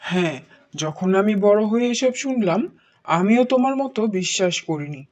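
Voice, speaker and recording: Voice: mid-range voice, animated, moderate pace, no echo, close to an everyday voice. An actor voicing a stern cartoon father. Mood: grave. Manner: stern, then hushed storytelling. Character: strict father haunted by a village ghost story. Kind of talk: cartoon voice acting.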